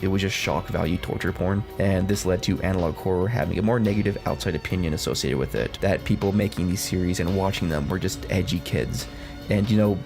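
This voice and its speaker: A man giving casual, scripted video commentary with a blunt, sarcastic manner and frequent swearing. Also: deep voice. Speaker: video essay host. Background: background music.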